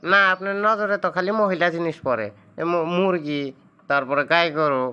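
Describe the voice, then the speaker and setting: A man's voice: on the high side, moderate pace, bright, forceful tone, clean tone, muffled recording. A man playing an entitled young village son-in-law in a comedy cartoon, with a Sirajganj Bangladeshi accent and a complaining, sarcastic way of talking. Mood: sarcastic complaint.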